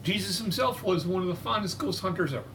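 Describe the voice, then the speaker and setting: A man's voice: high-pitched, fast talker, fairly bright tone, a little hiss or hum. A man playing a paranoid, old-fashioned ghost hunter with a folksy, conspiratorial way of talking. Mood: earnest. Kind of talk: improv character interview.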